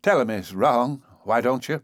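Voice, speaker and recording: A man's voice: mid-range voice, lively intonation, normal speed, dry recording, studio quality, natural voice. A man voicing a querulous old man of about seventy with a cracked, weak, whining voice in a storybook reading. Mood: weary complaint. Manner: querulous, complaining. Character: querulous ancient old man, newly born.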